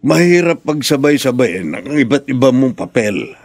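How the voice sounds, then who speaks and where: A man's voice: medium pitch, very animated, moderate pace, dry recording, clean studio sound, soft background music. Caring older Filipino father. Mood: concerned. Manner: caring, questioning, paternal. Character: concerned older father, gently probing his grown daughter. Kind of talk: Filipino radio drama acting.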